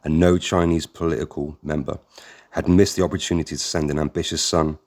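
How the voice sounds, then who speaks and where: A man narrating an audiobook in an extremely deep, low voice. He reads a first-person memoir at a steady, measured pace, as if telling his own story.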